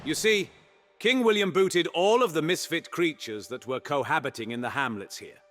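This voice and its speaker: A man's voice: fairly high pitch, expressive, normal speed, a bit bright. A man playing a roguish, irreverent monster hunter knight in a fantasy audio roleplay, talking in a theatrical, conspiratorial way. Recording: long hall reverb under music.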